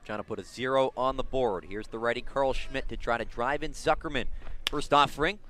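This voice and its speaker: A man calling live baseball play-by-play for radio, describing the action in detail and building excitement on big plays. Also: mid-range voice, a little fast. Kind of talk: live radio sports commentary.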